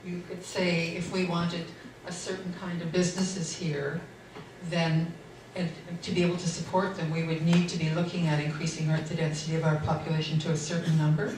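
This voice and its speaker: A woman's voice: fairly low pitch, level tone, a little fast. An older woman, a local councillor, speaking at a community meeting in a friendly, slightly hesitant way and asking questions. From Canada. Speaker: municipal councillor. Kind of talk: public meeting remarks. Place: community hall. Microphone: distant room mic. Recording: echoey hall from far off, noisy.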